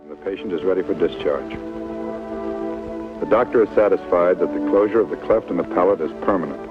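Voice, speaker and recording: A man's voice: low-pitched, fairly quick, close to an everyday voice. An actor narrating a 1950s television medical drama as a sober, authoritative man with terse, solemn phrasing. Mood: solemn, clinical. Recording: tinny old TV soundtrack, music behind.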